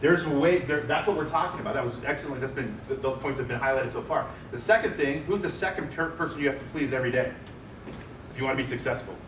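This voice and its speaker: A man with a loud voice giving a chatty panel talk and putting questions to the audience. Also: medium pitch, fast. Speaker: panel speaker. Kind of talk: conference presentation. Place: large office meeting room. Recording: echoey room, distant mic, noisy.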